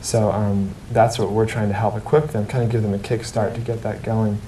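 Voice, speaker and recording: A man's voice: flat delivery, fairly quick, warm tone. Man speaking evenly in an echoey room. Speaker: charity street team member, interviewee. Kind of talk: interview answers. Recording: roomy echo, faint background noise, rumble.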